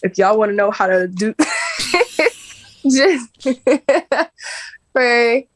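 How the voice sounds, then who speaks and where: A woman's voice: a little high, very slow. A woman in her twenties, a podcast guest, chatting casually and laughing often. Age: 20s. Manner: relaxed, laughing, chatty with lots of fillers. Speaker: guest, social media creator. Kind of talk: casual chat.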